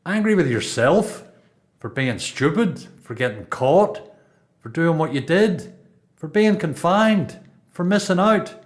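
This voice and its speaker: A man with a Northern Irish accent acting a reflective, self-controlled prisoner in a radio play, speaking in a measured way. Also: fairly high pitch, lively intonation. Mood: challenging.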